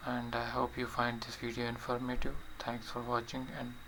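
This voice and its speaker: A man narrating a step-by-step software tutorial in short, halting, plainly instructional phrases. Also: mid-range voice, completely flat delivery. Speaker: tutorial presenter. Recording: clearly noisy voice-over recording.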